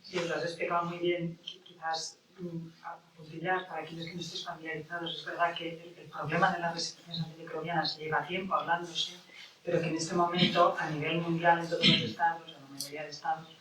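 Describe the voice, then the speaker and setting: A woman moderating a panel discussion, speaking in a measured, thoughtful way with tag questions. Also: low voice, level tone, a crowd in the background. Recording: echoey room from a distance with noise.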